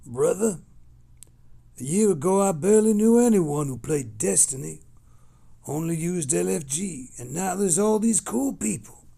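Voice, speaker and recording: A man casually hosting a livestream, reading messages aloud and replying in a rambling, halting way that trails off. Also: sits high, animated, gravelly, mellow, husky.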